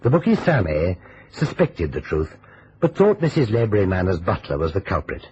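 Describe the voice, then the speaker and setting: A man narrates a vintage detective story in a formal, explanatory way. His voice is deep with a wide, expressive range. The old recording is slightly hissy. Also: moderate pace. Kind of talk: scripted vintage radio mystery narration. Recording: muffled vintage radio recording, faint hiss.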